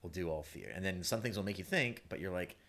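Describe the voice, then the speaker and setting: A man chatting as a playwright guest on a panel, in a halting, rambling, conversational way. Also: low-pitched, animated, vocal fry, fairly bright tone. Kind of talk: casual panel discussion.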